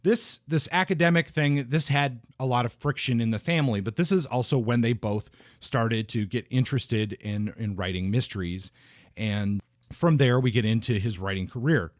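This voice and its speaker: A man hosts a book podcast, talking through an author's life in loose, unscripted sentences full of small restarts. His voice sits at an ordinary middle pitch for a man. The sound is dry and close, with no room echo. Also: moderate pace. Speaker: podcast host. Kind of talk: conversational podcast talk about books.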